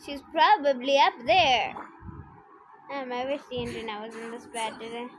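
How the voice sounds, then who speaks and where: A woman's voice: fairly high pitch, normal speed. A woman game streamer bantering casually in a playful, teasing way while reacting live to what she plays. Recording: noisy home livestream mic.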